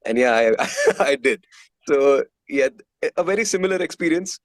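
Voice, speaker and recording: A man's voice: on the high side, lively intonation, moderate pace, creaky, no echo. A man from India with an Indian accent, telling stories casually and candidly as a musician being interviewed.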